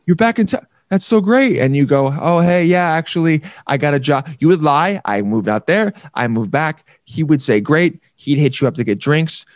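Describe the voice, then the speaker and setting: A man's voice: a little high, expressive, moderate pace, few pauses, no room sound, clean studio sound. A man hosting a podcast in casual, jokey chat, slipping into little acted voices. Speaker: podcast host.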